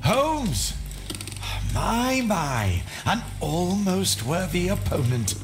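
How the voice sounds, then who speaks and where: An actor playing a haughty, sickly Victorian lord in a video game, with an English accent and a pompous, theatrical delivery. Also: male voice, high-pitched, sing-song, drawn-out words, heavy background noise, full character voice. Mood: mocking. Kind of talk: dramatic video game acting. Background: loud dramatic music.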